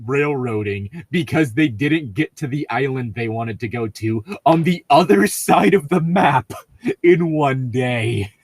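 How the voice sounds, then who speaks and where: Animated man reading and chatting casually. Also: mid-range voice, unhurried, husky, dry recording. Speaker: podcast co-host. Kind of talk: casual banter and reading a story aloud.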